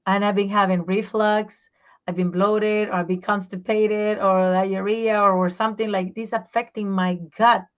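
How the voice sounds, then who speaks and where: A woman voice teacher and singer, talking in a casual interview, animated and explaining with examples, sometimes searching for her words in English. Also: medium pitch, moderate pace, highs cut off. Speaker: voice teacher and singer, podcast guest.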